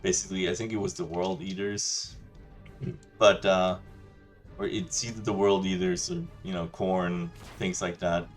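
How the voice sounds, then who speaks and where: Guy ranting casually, low voice, rambly and chill, lots of you know. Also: steady tone, unhurried, faint music behind. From Denmark. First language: Danish. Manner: rambling, loose and chatty, many restarts and "you know". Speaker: gaming channel host. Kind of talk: casual rant over gameplay.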